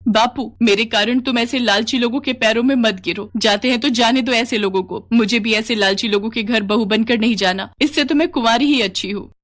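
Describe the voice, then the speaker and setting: A woman's voice: on the high side, fairly quick, few pauses, a bit bright, voice pushed up, close to an everyday voice. A woman voicing an earnest, lovestruck young village woman in an emotive story style. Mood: upset, defiant.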